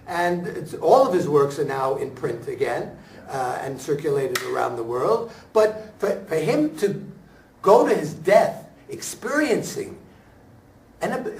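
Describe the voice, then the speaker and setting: A man, an actor at a talkback, speaks reflectively in halting, deliberate phrases with emphatic pauses. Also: sits high, slight background noise. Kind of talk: reflective post-show discussion. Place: room at a staged reading, before an audience. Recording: echoey room, picked up from far off.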